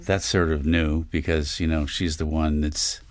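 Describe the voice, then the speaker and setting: A man talking casually and haltingly as a panelist discussing a play. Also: a bass voice, little pitch movement, no room sound, soft background music.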